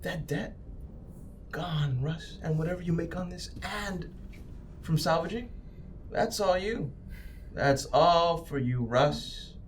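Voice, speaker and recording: A man playing an oily, menacing corporate agent in a tabletop game, cajoling and slipping into hushed threats. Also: fairly high pitch, takes it slowly, pauses often, a little hiss or hum, pitched above the performer's own voice. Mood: coaxing. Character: slick, menacing male corporate agent, bribing and threatening crew. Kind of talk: improvised tabletop roleplay acting.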